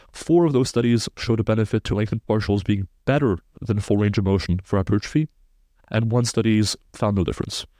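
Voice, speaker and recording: Guest researcher, man in his twenties, lowish voice, technical and fluent. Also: warm tone, no echo. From Belgium. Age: 20s. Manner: precise, technical, fluent explainer. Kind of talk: podcast interview, explaining research.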